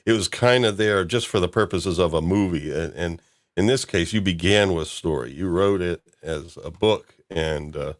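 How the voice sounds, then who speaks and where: A man's voice: low-pitched, normal speed. A man hosting a podcast, chatting casually about a fan-made audio drama, chuckling now and then as he talks. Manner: chuckles while talking. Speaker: podcast host.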